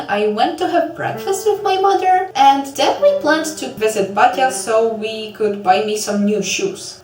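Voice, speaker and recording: Ukrainian-speaking girl doing devlog narration, self-deprecating n chatty, kinda high voice, really roomy mic. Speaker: video creator and game developer. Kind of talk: scripted devlog narration with casual asides. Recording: roomy echo, distant mic, background music.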